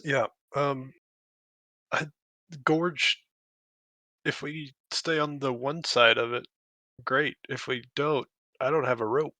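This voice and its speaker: Dude in a dnd game, bright kinda sharp voice, sheepish, laughs stuff off. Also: male voice, medium pitch, fairly even intonation, takes it slowly, long pauses, no room sound. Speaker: player. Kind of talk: casual tabletop banter.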